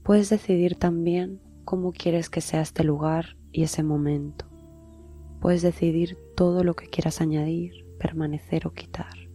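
A woman guiding a meditation in a slow, soft, soothing way, often sinking into a whisper. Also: fairly low pitch, steady tone, soft background music. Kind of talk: guided meditation.

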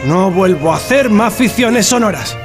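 A man with a slightly high voice gives a deadpan comic interview as a director and scriptwriter. Music plays clearly behind him. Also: moderate pace. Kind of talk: mock behind-the-scenes comedy interview.

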